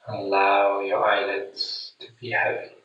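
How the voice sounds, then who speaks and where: A man guiding a meditation in a soothing, deliberate manner with long pauses, speaking gently to the listener. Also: on the low side, monotone, muffled recording. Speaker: meditation guide. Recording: very echoey room, distant mic.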